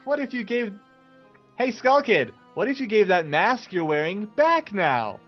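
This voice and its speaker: A young game fairy acted out by a man speaks in a raised, animated voice. It pleads and exclaims melodramatically, in slightly dull audio over game music. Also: sits high, a little slow, voice pushed up, exaggerated voice. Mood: coaxing.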